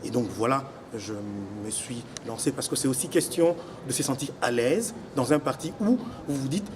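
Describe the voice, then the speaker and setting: A young man from Congo who lives in Belgium, giving deliberate, explanatory interview answers as an election candidate. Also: medium pitch. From Congo, living in Belgium since 1984. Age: young adult. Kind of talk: semi-formal interview answers. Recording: noisy location with background ambience.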